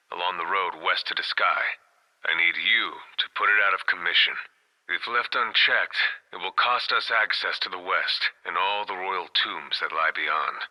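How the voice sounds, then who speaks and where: A stern older warrior mentor, very low and forceful. He speaks formally and with measured authority, like a dutiful royal guard in a game cutscene. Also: rough recording. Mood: businesslike. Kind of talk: video game cutscene acting.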